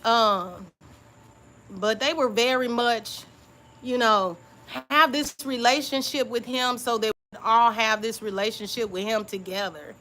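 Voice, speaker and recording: A woman's voice: fairly high pitch, unhurried, slight background noise, rough recording. A woman YouTube creator talking through old journal entries in a casual live-stream chat, confiding and reflective, often checking in with her listeners with "okay?". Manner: relaxed informal speech.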